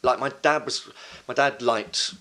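A middle-aged man from England talking casually as an interview guest, rambling and halting with many restarts and fillers, and swearing now and then. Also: mid-range voice, pushed and bright.

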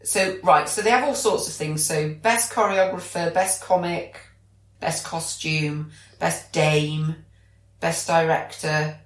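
British woman, low voice, casual podcast chat, chatty enthusiastic manner, echoey indoor recording. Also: fairly even intonation, slow pace. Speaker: podcast co-host. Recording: echoey room.

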